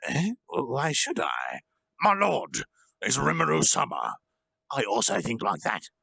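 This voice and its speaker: A man's voice: medium pitch, huge pitch swings, measured pace, creaky, bright, forceful tone, slightly rough, no room sound, exaggerated voice. A man voicing a loyal, serious monster follower, formal and devoted, in a fantasy story reading. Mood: puzzled, devoted.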